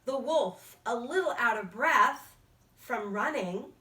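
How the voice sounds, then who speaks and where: A woman's voice: on the high side, expressive, slow pace, pushed and bright. A woman narrating a fairy tale for children as a storyteller. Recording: echoey room, distant from mic.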